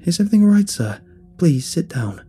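A man's voice: on the high side, sing-song, fairly quick, mellow, soft background music, voice pushed up. A man voicing a flustered female hospital nurse in a story, polite but firm. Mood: concerned.

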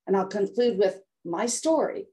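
A woman's voice: mid-range voice, lively intonation, moderate pace, dark tone. Older woman telling a dramatic personal story. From United States. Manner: dramatic, acts out quotes with voice shifts. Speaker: guest health coach. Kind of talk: emotional storytelling in interview.

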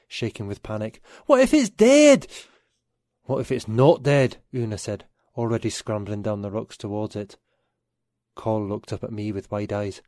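A man's voice: medium pitch, dark tone, no room sound, clean studio sound. A man with an English accent reading a scripted short story as narrator. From England.

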